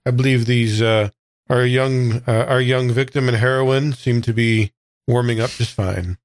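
A man's voice: medium pitch, steady tone, a little slow, dry recording, lightly acted. A man voicing a courtly, world-weary old man with hesitant, formal, old-fashioned phrasing. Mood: grave, mild.

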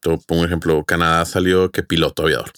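Low-voiced Mexican man telling stories casually. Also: little pitch movement, quick pace, fairly bright tone, no echo, clean studio sound. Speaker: podcast co-host. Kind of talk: casual storytelling.

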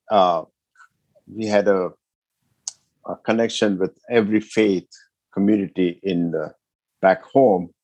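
A man's voice: on the low side, warm tone, slightly rough, no room sound. A man from Ethiopia, speaking as a guest in a podcast interview. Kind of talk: interview answers.

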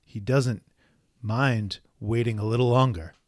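A man voicing a menacing big male demon henchman who speaks of himself in the third person with slow, gloating threats. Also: medium pitch, steady tone, darkish tone, dry recording, clean studio sound, natural voice.

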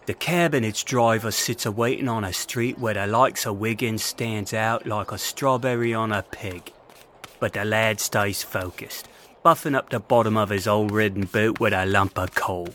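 A man's voice: on the low side, moderate pace, a bit bright, slight background noise. A man narrating an audio drama in a florid, theatrical storytelling manner. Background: music.

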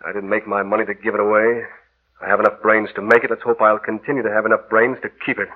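A man's voice: on the low side, level tone, quick pace, close to an everyday voice. A man playing a greedy, callous businessman, curt and self-satisfied, in 1940s radio drama style. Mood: smug, gloating. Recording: dull old radio recording.

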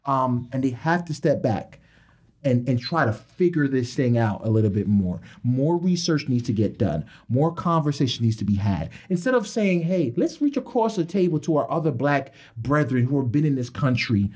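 A man's voice: mid-range voice, animated, normal speed, a little mellow, slightly rough. A man arguing his point emphatically in a panel discussion, repeating words and restarting sentences. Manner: emphatic, repetitive, restarts often. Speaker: panel guest. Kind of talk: animated discussion.

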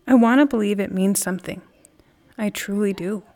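A woman's voice: medium pitch, normal speed, creaky, a little mellow, lightly acted. A woman acting as an earnest, indignant friend talking emphatically over coffee in a naturalistic audio drama. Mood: earnest, pained.

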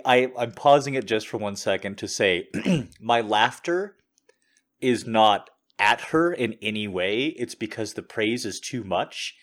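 A man's voice: lowish voice, a bit bright. A man hosting a show, answering listener messages in earnest, casual asides. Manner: laughing, earnest, apologetic asides.

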